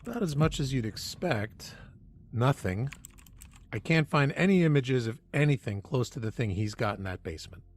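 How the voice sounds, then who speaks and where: A man's voice: medium pitch, lively intonation, moderate pace, lightly acted. A man playing a persistent amateur researcher with a casual, wry manner in an audio drama. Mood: intrigued, wry.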